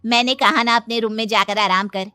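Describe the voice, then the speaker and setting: A woman's voice: on the high side, steady tone, fairly quick, music in the background, close to an everyday voice. A woman voicing a protective, middle-aged mother-in-law in a cartoon moral story, motherly, firm and emphatic. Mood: doting.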